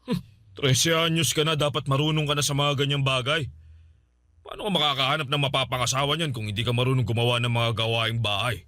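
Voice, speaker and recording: A man's voice: mid-range voice, steady tone, quick pace, pushed and bright, no room sound, clean studio sound, close to an everyday voice. A man playing a stern, fault-finding uncle in a radio drama, speaking with a Filipino accent. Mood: stern, scolding.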